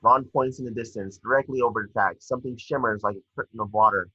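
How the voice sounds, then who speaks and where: A man's voice: fairly low pitch, quick pace, bright, forceful tone, slightly rough, dry recording, muffled recording. A man reading stage directions as narrator for a screenplay table read.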